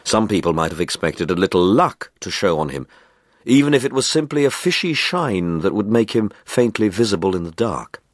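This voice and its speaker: A man narrates a short story in a low voice with very lively intonation. His delivery is deliberate and dramatic, and the sound is close and dry, free of room echo. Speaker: story reader.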